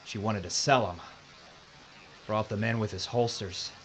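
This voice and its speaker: A man's voice: on the low side, fairly even intonation, unhurried, a bit bright. Jumpy reluctant gunslinger, a guy acting it, folksy drawl, noisy recording. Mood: weary. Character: reluctant gunfighter who says he is a regular guy. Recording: noisy audio drama recording.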